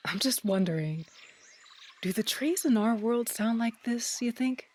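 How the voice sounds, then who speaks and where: A woman's voice: on the high side, animated, unhurried, a little mellow, slight background noise. A woman playing a curious, tender, teasing young woman in a fairy-tale audio drama.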